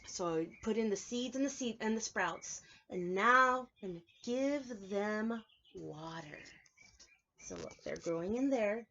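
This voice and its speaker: A woman preschool teacher reading aloud and explaining things to young children, clearly emphasizing key words in an instructive way. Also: medium pitch, lively intonation, measured pace, a bit bright, muffled recording, slight background noise. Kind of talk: children's read-aloud lesson with explanations and questions.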